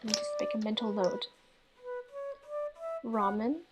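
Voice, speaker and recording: A woman's voice: mid-range voice, a little slow. A woman giving casual playthrough commentary on a visual novel and reading its dialogue aloud. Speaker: gamer playing a visual novel. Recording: music playing behind the voice.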